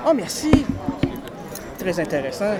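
A man's voice: high voice, very animated, a little slow, music in the background. A man with a Québec French accent hosting a casual radio interview, chatty and full of eager interjections. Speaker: radio host.

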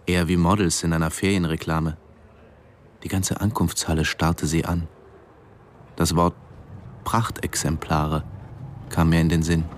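Low-voiced, sober middle-aged man narrating. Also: level tone, unhurried, pauses often, slight background noise. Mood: sober, reflective. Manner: sober, matter-of-fact narrating. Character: reserved middle-aged male diving instructor, detached observer. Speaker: narrator and character. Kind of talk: radio drama narration and dialogue.

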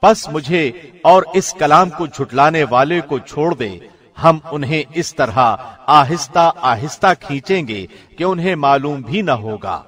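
A man reads a translation of scripture in a formal, scripted style. His voice sits somewhat high, and he speaks with solemn, measured, carefully articulated phrasing. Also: lively intonation, fairly bright tone. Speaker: translation reader, professional radio host.

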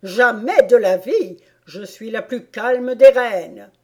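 A woman voicing a tyrannical, furious queen of playing cards in a storybook, shouting imperious orders. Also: on the high side, slow pace, husky, pitched above the performer's own voice. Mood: indignant.

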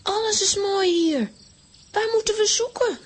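A woman playing an excitable, headstrong young girl in a children's audio drama, eager and emotional. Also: very high voice, a little slow. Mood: excited.

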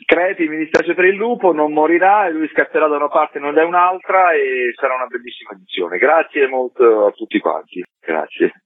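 A man's voice: a little high, brisk, few pauses. A man answering radio interview questions spontaneously as a festival director. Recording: tinny narrow phone-like line.

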